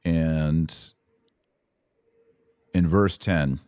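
A man with a very low voice teaches scripture in a conversational podcast style. He explains patiently in steps and checks in with short tag questions. The sound is dry and close, without room reflections. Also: extremely slow, a little mellow, no echo. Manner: explanatory, checks understanding with rhetorical tags. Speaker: host and Bible teacher answering questions.

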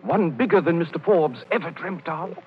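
An actor playing a genial, reassuring wealthy gentleman in 1930s radio comedy, courtly and a little hesitant. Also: on the high side, moderate pace, close to an everyday voice. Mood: kindly. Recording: dull, noisy 1930s radio broadcast.

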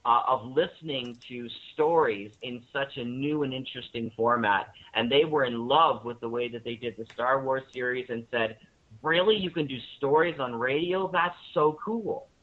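A man's voice: medium pitch, normal speed. Man on a thin phone-like line. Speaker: guest, radio drama maker. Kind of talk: enthusiastic panel interview talk.

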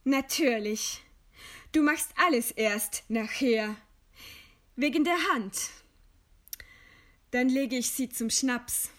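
A condemned woman writer in a radio drama. Her voice is fairly high and she speaks very slowly, weighing each phrase. She stays composed and proud, with ironic scorn. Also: fairly bright tone. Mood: dry resignation. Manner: slow, deliberate, ironic. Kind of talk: German radio play acting.

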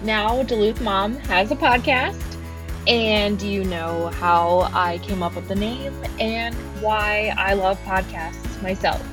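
A woman hosting her own parenting podcast, talking casually with frequent soft laughs and ums. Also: mid-range voice, slow pace, music in the background. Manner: relaxed, laughs often, many ums. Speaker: podcast host. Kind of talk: casual solo podcast talk.

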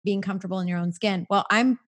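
A woman's voice: mid-range voice, steady tone. Chatty woman in her forties. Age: 40s. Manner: earnest, chatty, quick bursts. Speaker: podcast guest. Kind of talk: casual faith-based chat.

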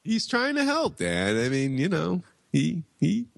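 A man's voice: a little high, huge pitch swings, measured pace, vocal fry, no room sound, studio quality. A man co-hosting a podcast in casual chat, teasing and joking. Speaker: podcast co-host.

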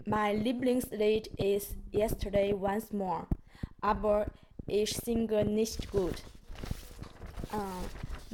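Very slow Chinese-native woman. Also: mid-range voice, background noise. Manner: very slow, halting, many pauses. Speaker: course participant.

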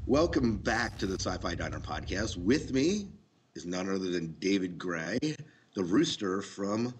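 A man's voice: deep voice, animated, a little slow, slight background noise. A man hosting a podcast, chatting casually and putting questions to his guest. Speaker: podcast host and interviewer.

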